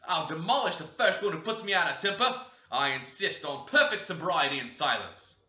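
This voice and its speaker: A tyrannical young master of the house who barks loud orders, as a male narrator puts him on. His voice sits higher and louder than the reader's own. The recording is roomy and muffled. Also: animated, moderate pace. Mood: domineering. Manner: loud and bossy.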